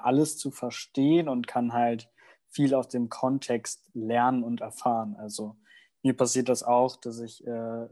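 A young man who grew up in Germany, a university student answering interview questions in a relaxed, casual way. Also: mid-range voice. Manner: relaxed, with many fillers. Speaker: guest.

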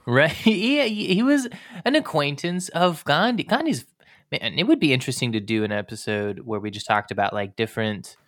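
A man with a very bright, forward voice hosts a history podcast. He talks in an enthusiastic, informal way, commenting conversationally as he goes. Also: medium pitch, lively intonation, normal speed. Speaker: podcast host.

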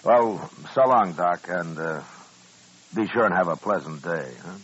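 A middle-aged American frontier marshal in a 1950s radio western. The voice sits very low, and the delivery is laconic, calm and dryly humorous. The old recording is muffled. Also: male voice, a little slow, breathy edge, heavily acted. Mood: amused, wry. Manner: laconic, wry, understated.

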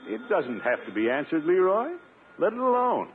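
A middle-aged uncle, puffed up and indignant, blusters in 1950s radio comedy fashion. His pitch swings widely. The old broadcast sounds thin and tinny, with hiss behind it. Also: very animated, normal speed. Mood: blustering. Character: pompous, blustering middle-aged uncle, self-declared rugged individualist.

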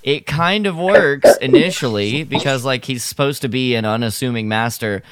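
A man's voice: mid-range voice, moderate pace, a loud crowd. A man co-hosting a podcast, talking casually with the others. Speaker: podcast co-host.

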